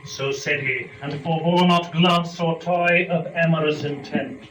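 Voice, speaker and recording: Slow, solemn male narrator in echoey room. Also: on the high side, fairly even intonation, a bit bright. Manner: solemn, measured, declamatory, with weighty pauses. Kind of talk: dramatic reading of epic poetry. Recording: echoey room, distant microphone, clear noise.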